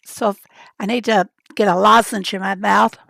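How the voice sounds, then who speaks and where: A woman hosting a casual livestream, chatty and rambling as she greets viewers from the chat, her voice raspy and hoarse from a summer cold. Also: medium pitch, steady tone, normal speed, a bit bright, no room sound. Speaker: livestream host.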